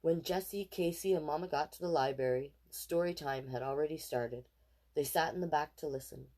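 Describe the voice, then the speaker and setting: A woman reading a children's picture book aloud in an expressive, animated way, with casual asides. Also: low voice, moderate pace. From Canada. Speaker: storytime reader.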